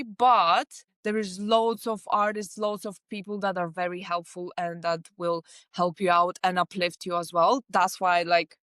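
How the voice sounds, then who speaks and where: A woman speaks in a smooth, clean voice at an everyday middle pitch. She answers interview questions earnestly, piling up points in long lists. The sound is dry and close. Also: a bit bright, dry recording. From Lithuania. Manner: earnest, talks in long lists with many likes. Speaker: artist manager, guest.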